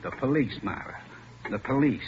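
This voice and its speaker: An actor playing a nervous, stammering, bitter crook of a husband in a 1940s radio crime drama. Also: male voice, medium pitch, lively intonation, moderate pace, slight background noise, natural voice. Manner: stammering, pleading. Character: panicky, whining, bitter small-time crook husband. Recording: muffled old radio recording with music.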